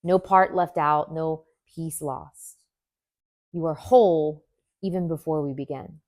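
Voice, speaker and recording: A woman teaching yoga nidra, leading a guided meditation in a gentle, soothing manner with deliberate pauses. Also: low-pitched, steady tone, no echo, studio quality.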